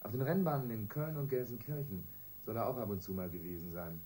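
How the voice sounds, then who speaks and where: Mellow, lowish-voiced male police investigator acted out. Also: slight background noise, close to an everyday voice. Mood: reporting. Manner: factual, measured reporting. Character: matter-of-fact male police investigator reporting to superior. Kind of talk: radio crime drama acting.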